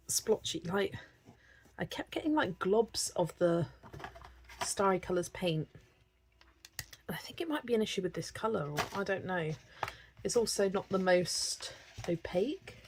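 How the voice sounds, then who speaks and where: A woman with a slightly hoarse voice hosts a live stream, greeting viewers by name and chatting casually while she colours, often laughing. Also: mid-range voice, a little hiss or hum, rough recording. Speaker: livestream host.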